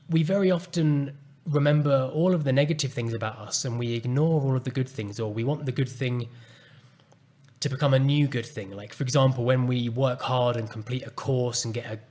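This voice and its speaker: A man hosting a solo podcast, speaking calmly and earnestly as he reflects on a personal story. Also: medium pitch. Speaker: podcast host and coach.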